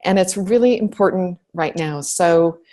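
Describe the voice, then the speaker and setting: A woman's voice: lowish voice, a little slow, warm tone. A woman guest speaker giving an earnest online talk as a shaman.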